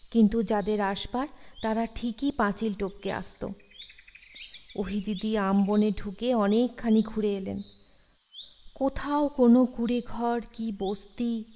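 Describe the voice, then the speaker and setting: A woman's voice: a little high, a little mellow, big-room sound, a little hiss or hum. A woman narrating a scripted audio story expressively, as a storyteller. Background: music.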